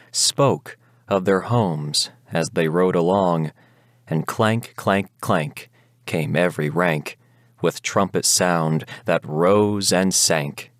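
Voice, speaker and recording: A man reading poetry aloud as a dramatic, measured recitation of a gothic ballad, solemn and declamatory. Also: low-pitched, no room sound, studio quality. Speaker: poetry reader.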